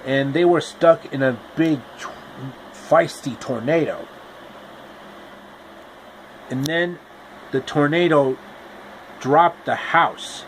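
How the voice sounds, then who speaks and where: A man's voice: a little high, lively intonation, slow pace, pauses often, a little hiss or hum, low-quality recording. A man retelling a fairy tale in a loose, rambling casual narration with restarts. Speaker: storyteller. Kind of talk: casual improvised story retelling.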